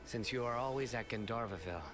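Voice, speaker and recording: An actor playing a stern, guarded male investigator in a game, speaking in a terse, deliberate way. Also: mid-range voice, natural voice.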